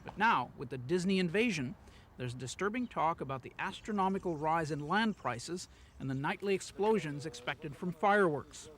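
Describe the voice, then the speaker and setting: High-voiced male news reporter, wry. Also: lively intonation, moderate pace, fairly bright tone, slight background noise. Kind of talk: scripted news narration.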